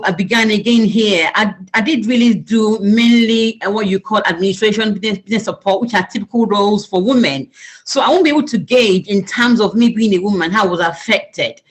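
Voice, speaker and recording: A woman from Nigeria, speaking as a panel guest in a candid, conversational way with frequent restarts. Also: medium pitch.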